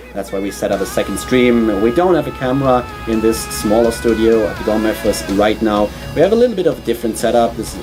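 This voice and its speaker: German man casting esports solo over music. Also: lowish voice. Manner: chatty, many filler words and restarts. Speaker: esports caster. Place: small studio.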